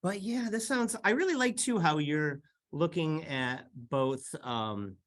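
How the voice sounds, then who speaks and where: A man's voice: on the high side, animated, takes it slowly, a bit bright. A middle-aged man hosting an interview over a video call from an office, upbeat and enthusiastic. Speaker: host, graduate student coordinator. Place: office with bookshelves.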